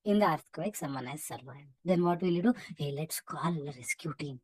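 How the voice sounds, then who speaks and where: Indian female online English teacher, lowish voice, brisk and instructive, close dry recording. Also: expressive, darkish tone, slightly rough, dry recording. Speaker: English teacher running a live online reading practice class. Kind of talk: live interactive lesson, calling out names and marking answers.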